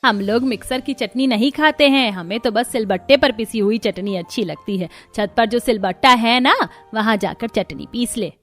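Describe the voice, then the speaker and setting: A woman's voice: fairly high pitch, normal speed, fairly bright tone, faint music behind. A woman voicing an older mother-in-law, insistent and dismissive, in a cartoon family story. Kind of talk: Hindi cartoon story voice acting.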